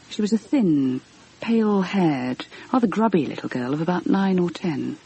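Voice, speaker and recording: A woman's voice: fairly low pitch, warm tone, a little hiss or hum, poor audio. An actress playing an inquisitive, sensitive, genteel woman in a radio drama, speaking in articulate, earnest, literary phrases. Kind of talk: radio drama narration and dialogue.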